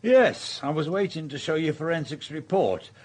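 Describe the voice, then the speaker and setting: A man's voice: fairly high pitch, lively intonation, moderate pace, a little mellow. An actor playing a plain-speaking male police sergeant in a radio drama, with a dry, clipped manner. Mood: matter-of-fact.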